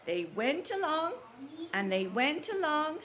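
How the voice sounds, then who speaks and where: A woman kindergarten teacher reading a storybook aloud to young children, prompting them with questions and repeating deliberately. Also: high-pitched, sing-song, a bit bright. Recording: faint background room noise.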